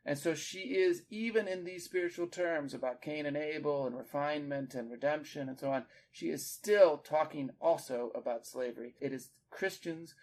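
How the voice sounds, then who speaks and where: A man's voice: on the high side, normal speed, hardly any pauses, a little mellow. A man hosting a literary discussion, explaining like a teacher in an articulate way with emphasis on key words. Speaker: podcast host and literature teacher.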